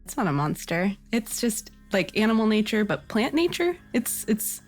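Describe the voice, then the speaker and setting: A woman's voice of average pitch in an informal podcast conversation. She talks in a playful, enthusiastic way over faint music. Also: sing-song, normal speed. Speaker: podcast panelist. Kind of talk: casual chat.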